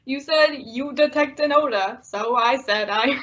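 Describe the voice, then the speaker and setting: A woman playing a loyal, wisecracking male cab driver in old-time radio style, excitable and folksy. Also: high voice, unhurried. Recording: dull lo-fi audio.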